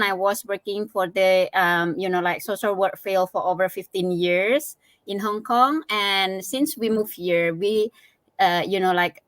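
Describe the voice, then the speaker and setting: A woman's voice: mid-range voice, a bit bright, no room sound. A middle-aged woman with an Indonesian accent, giving a casual personal account as a guest, hesitantly and with many small filler phrases. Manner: hesitant, frequent "you know". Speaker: interview guest.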